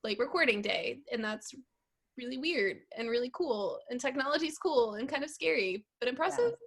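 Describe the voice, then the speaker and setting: Woman, theatre performer, higher-pitched, informal panel chatter. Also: animated, moderate pace. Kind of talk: casual chat.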